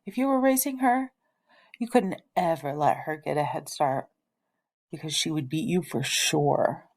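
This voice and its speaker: A gentle, reserved preacher and father from a storybook, read by a woman in a low register. His speech is measured and deliberate. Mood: wistful.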